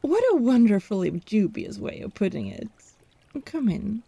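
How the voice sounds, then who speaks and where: A woman's voice: mid-range voice, huge pitch swings, normal speed, vocal fry, warm tone, a little hiss or hum. A woman playing a haughty, teasing country healer in a fantasy audio drama, arch and inquisitive.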